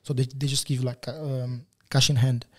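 A man's voice: medium pitch, little pitch movement, moderate pace. A young man, a Portuguese native raised in Portugal after an Angolan birth, telling his story in casual interview chat with many fillers and restarts. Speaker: guest photographer.

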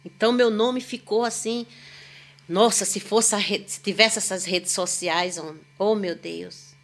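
A chatty woman. She tells long, rambling stories with plenty of exclamations. Her speaking voice sits at a middle pitch. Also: measured pace. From Northeast Brazil. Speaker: podcast guest, singer. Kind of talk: casual storytelling chat.

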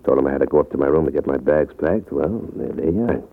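Gravelly deep cocky prizefighter. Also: male voice, quick pace, full character voice. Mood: cocky, amorous. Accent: American. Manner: cocky, slangy. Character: boastful, tipsy prizefight champ sweet-talking a date. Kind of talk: 1940s radio drama acting. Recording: tinny, noisy old radio broadcast.

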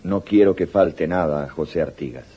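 A man's voice: extremely low pitch, moderate pace, loud music behind the voice. A man playing a curt, imperious dictator in a radio drama, authoritative and clipped. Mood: cold, curt. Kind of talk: 1980s radio drama acting. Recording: dull, noisy old radio recording.

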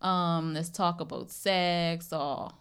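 A woman's voice: fairly low pitch, fairly even intonation, takes it slowly, a bit bright, clear, resonant tone. A woman in her twenties from The Bahamas, chatting casually as a podcast guest. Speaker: podcast guest sharing own experience. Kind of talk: casual chat.

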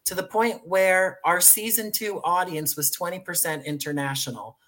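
Higher-voiced American man, effusive interview guest. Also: moderate pace, bright, forceful tone. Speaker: guest, audio drama writer and producer. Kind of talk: conversational interview.

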